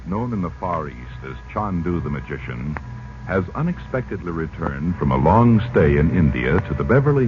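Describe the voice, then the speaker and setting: A man with a deep, highly animated voice announces on a muffled old radio recording. His delivery is theatrical and scripted, in the manner of a 1940s program host.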